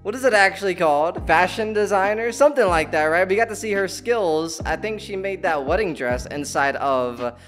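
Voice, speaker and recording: A man in his twenties giving casual, excited reaction commentary in slangy, playful language. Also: high-pitched, normal speed, hardly any pauses. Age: 20s. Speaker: reaction video host. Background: music and game trailer audio.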